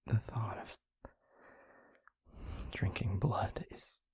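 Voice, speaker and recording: A man playing a gentle, concerned vampire boyfriend in an intimate audio roleplay, speaking softly and haltingly with a breathy, husky voice. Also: no room sound, whispered, lightly acted. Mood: gentle, reassuring. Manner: soft, hesitant, halting, reassuring.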